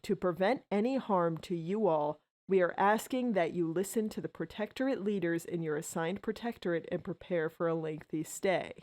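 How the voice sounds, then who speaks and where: A woman plays a female mayor in an audio drama, addressing citizens in an emergency. Her voice sits fairly low, and her delivery is formal, solemn and official. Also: normal speed, hardly any pauses, a little mellow, natural voice.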